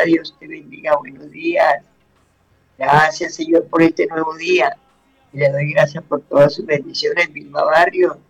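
Guy doing a prayer radio show, raspy fry in his voice, keeps repeating blessings, quiet music under it. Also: on the high side, low-quality recording. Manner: fervent, repeats blessings and phrases. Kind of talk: devotional radio talk and prayer.

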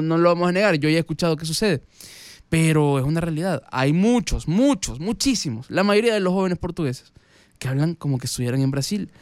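Male radio host, Latin American accent, smooth clean voice in a dry studio, casual emphatic storyteller. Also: on the high side, dry recording. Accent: Latin American Spanish. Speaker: radio music show host. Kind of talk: casual conversational monologue, storytelling to the audience. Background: music.

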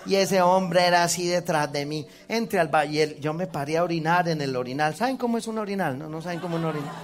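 Colombian priest preaching a lively testimony. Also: male voice, fairly high pitch, quick pace. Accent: Colombian Spanish. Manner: storytelling, acts out quoted voices, laughs at himself.

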